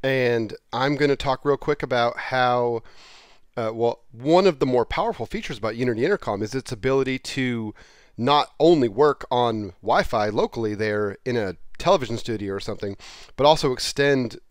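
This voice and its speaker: A man with a medium-pitched voice talks through a how-to off the cuff. His delivery is informal and plain-spoken. The headset recording is dry, with very little room around the voice. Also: normal speed, dry recording. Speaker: presenter of a software how-to. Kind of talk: casual off-the-cuff tutorial talk. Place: small indoor room, plain wall. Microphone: headset microphone.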